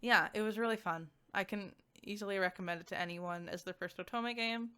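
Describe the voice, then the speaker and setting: A woman's voice: medium pitch, fairly quick, pushed and bright, clean tone. A woman giving a casual, chatty game review in an enthusiastic, informal and giggly manner. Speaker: video game reviewer and streamer.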